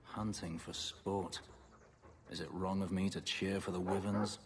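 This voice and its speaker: An actor playing a brooding, cautious elf in a video game, dry and reproving. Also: male voice, sits low, flat delivery, unhurried, noisy background.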